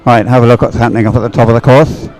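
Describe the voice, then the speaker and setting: Male sports commentator, unscripted and halting, calling a race as he watches, some music behind. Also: mid-range voice, steady tone, darkish tone, rough recording. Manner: halting, thinking aloud as he watches.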